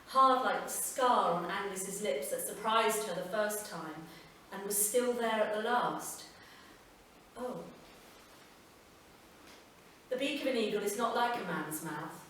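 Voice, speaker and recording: A woman with a British accent giving a slow, measured literary reading at a live festival event. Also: medium pitch, a bit bright, slight background noise. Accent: British English. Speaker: reader. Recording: echoey live room from far off, faint ambience.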